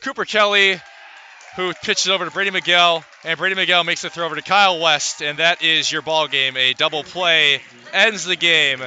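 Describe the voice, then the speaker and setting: A man giving live radio play-by-play of a baseball game, calling it in measured, deliberate phrases with pauses. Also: on the high side, steady tone, bright, forceful tone, slight background noise. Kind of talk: live radio sports commentary.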